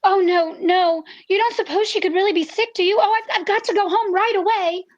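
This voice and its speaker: A woman playing an anxious, earnest farm girl in a comedic radio play, speaking in breathless, halting, repeated phrases. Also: very high voice, steady tone, muffled recording. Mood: worried.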